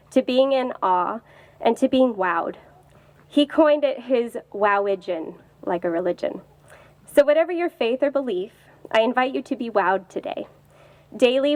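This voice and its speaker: A woman's voice: a little high, no echo. A woman giving an informal welcome speech outdoors to a gathering. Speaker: cemetery staff member giving welcome speech.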